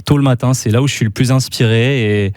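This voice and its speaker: A man's voice: mid-range voice, brisk, dry recording. Male guest, easygoing and talkative, mid voice, dry studio mic. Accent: French. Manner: relaxed, chatty, many fillers and laughs. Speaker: musician guest. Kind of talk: casual radio interview. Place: radio studio.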